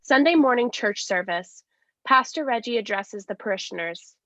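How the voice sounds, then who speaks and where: A woman's voice: on the high side, animated, moderate pace, bright, forceful tone, no room sound. A woman acting as neutral narrator, reading a play's stage directions as scripted narration. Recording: dry, dull lo-fi sound.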